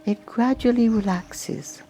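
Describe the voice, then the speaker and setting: A woman leads a guided meditation in a very slow, soft-edged mellow voice. There is a noticeable creak in her tone, and she leaves space between short, gentle phrases. Faint music plays behind her. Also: fairly high pitch. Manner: gentle, unhurried instructions in short phrases with long pauses. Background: music and nature sounds.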